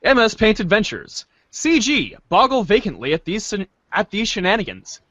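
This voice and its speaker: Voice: medium pitch, very animated, normal speed, fairly bright tone, no room sound. A podcast host doing a playful, theatrical group reading of a webcomic script. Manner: playful, theatrical page-change calls.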